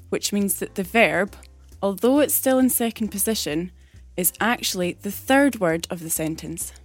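A woman explains a grammar rule in a clear, patient way, as if reading a prepared lesson segment. The recording is dry and close, with faint music in the background. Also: mid-range voice, no room sound. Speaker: grammar teacher. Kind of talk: scripted explanation. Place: recorded separately from the studio.

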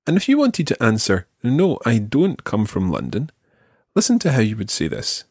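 A man hosting a podcast language lesson, explaining patiently and clearly. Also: mid-range voice, wildly swooping pitch, normal speed, no room sound, clean studio sound. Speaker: language teacher and podcast host.

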